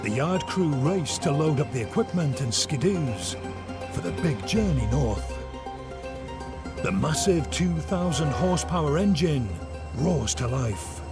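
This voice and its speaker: A man's voice: a little high, expressive, a little slow. A man reading scripted, dramatic documentary narration. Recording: loud music under the voice.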